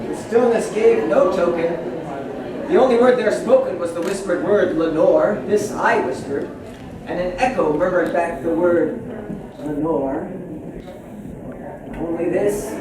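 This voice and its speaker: A man playing a haunted, melancholy 19th-century gentleman poet, giving a dramatic, halting theatrical recitation of verse. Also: sits high. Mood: fearful, hushed. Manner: dramatic, halting with repeated words. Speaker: performer reciting poetry. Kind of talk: theatrical live poetry recitation. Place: large indoor ballroom with audience. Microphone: distant camera recording. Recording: echoey hall from far off, audience noise. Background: audience crowd murmur.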